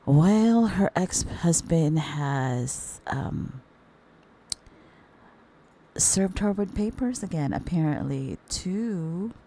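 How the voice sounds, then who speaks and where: A middle-aged woman with a hoarse voice from the flu, chatting casually with her viewers as host of a commentary channel and calling them darlings. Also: lively intonation, extremely slow, mellow, slight background noise. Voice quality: very deep voice.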